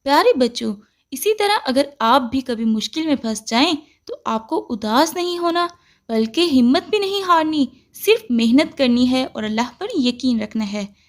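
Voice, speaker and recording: High-pitched woman narrating a children's story. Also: animated, normal speed.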